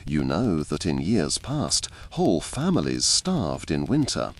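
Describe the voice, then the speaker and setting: A man reading a scripted second-person ASMR storytime narration in a measured, dramatic, deliberate way. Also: very deep voice, wildly swooping pitch.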